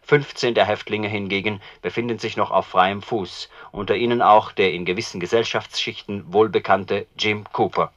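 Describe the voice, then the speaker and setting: A man's voice: fairly low pitch, steady tone, moderate pace, hardly any pauses, a bit bright, lightly acted. An actor playing a formal, matter-of-fact male radio newsreader, with precise official delivery and a dull radio sound. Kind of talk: news bulletin in a radio play.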